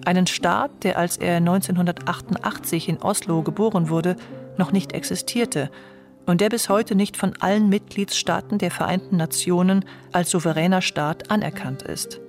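A woman narrating a radio documentary feature in a calm, measured reading style. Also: on the low side, soft background music. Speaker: narrator and author.

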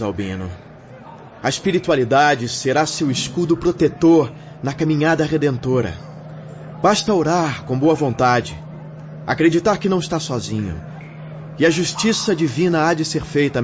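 Gentle, compassionate medium in higher voice, man acting. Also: lively intonation, normal speed, natural voice. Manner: soothing, earnest. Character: gentle, compassionate male medium consoling a prisoner. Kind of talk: radio drama acting. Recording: muffled recording with background noise.

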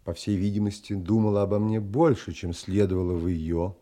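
Audio drama, male lead: reflective gentleman narrator played by an actor, low and mellow, tender, measured. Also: lightly acted. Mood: pensive, tender. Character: pensive, tender gentleman narrator telling his own story.

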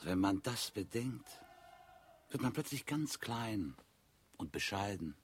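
An actor playing a dry, inquisitive gentleman passenger in an absurdist radio play, switching between clipped questions and pompous reflection. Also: fairly low pitch, animated, slow pace, husky, exaggerated voice. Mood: pensive. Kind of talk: 1960s German absurdist radio play acting.

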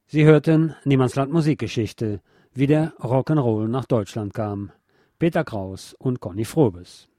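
A man's voice: mid-range voice, unhurried, dark tone. A man reading a scripted documentary narration as a radio narrator.

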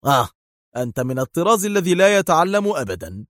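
A man's voice: on the high side, sing-song, quick pace, a bit bright, dry recording, clean studio sound, pitched above the performer's own voice. Man acting a mocking, confident secret agent hero. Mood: taunting. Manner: sarcastic, taunting, decisive.

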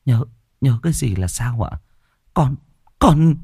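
A terrified young village woman in a horror story, her voice high and shaking as she pleads in panic, put on by a male narrator. The sound is dry and close, with no room around it. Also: expressive, takes it slowly, creaky, dark tone, breathy edge, no room sound, exaggerated voice. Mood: dazed.

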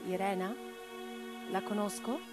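A woman playing a passionate, idealistic revolutionary in a radio drama, declamatory and expressive. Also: medium pitch, unhurried, lightly acted. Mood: tender, inquisitive. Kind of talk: theatrical radio drama monologue and dialogue. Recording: radio play with music underneath. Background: music.

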